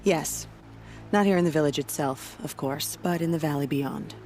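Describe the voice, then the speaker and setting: An actress playing a proud female warrior who guards a border village, speaking in a solemn, steady and devout way. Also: sits low, moderate pace, soft background music, lightly acted.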